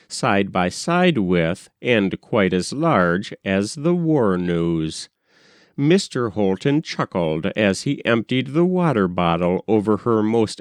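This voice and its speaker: Male narrator for literary short fiction: unhurried, animated pitch, dry close sound. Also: no echo. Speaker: narrator, reader. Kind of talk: expressive literary story narration.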